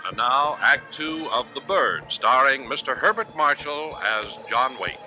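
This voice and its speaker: A man's voice: mid-range voice, lively intonation, unhurried, low-quality recording. 1950s radio announcer, man, music behind. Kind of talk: 1950s network radio announcing, scripted promo.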